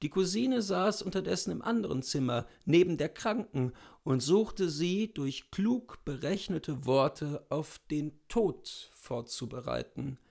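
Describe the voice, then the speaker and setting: A man in his thirties narrates a story from a book. He reads slowly, with a noticeable creak in his voice, in a small indoor room. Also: on the high side, lively intonation, a little mellow. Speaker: literary reader. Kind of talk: scripted story narration, reading aloud.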